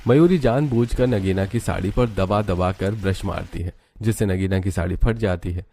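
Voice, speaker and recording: Low, dark-voiced male story narrator. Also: moderate pace, a little hiss or hum.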